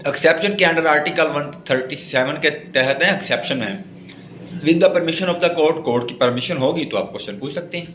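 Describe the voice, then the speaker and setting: A man's voice: fairly high pitch, normal speed, fairly bright tone. A man with a Pakistani accent lecturing in a didactic way, reading out notes and explaining them point by point. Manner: didactic, spells out and repeats points. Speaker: law lecturer. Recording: echoey room with faint background noise.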